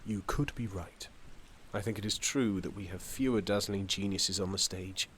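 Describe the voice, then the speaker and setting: An actor playing a wry, measured country doctor of fifty-five in an audio drama. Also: male voice, low-pitched, fairly bright tone, a little hiss or hum. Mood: mild, conciliatory. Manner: understated, measured, dry. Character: wry, self-assured 55-year-old male country doctor. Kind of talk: naturalistic stage dialogue.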